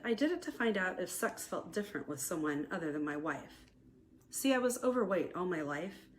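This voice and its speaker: A woman's voice: on the low side, slight background noise, lightly acted. A woman reading the lines of a confident married man who confides frankly on a first date in a romantic audiobook.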